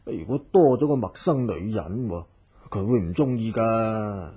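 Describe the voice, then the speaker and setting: An actor playing a timid, doting household cook in a Cantonese radio drama, hesitant, sighing and deferential, with a Hong Kong accent. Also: male voice, lowish voice, animated, a little slow, breathy edge. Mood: worried. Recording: muffled narrow-band old radio recording.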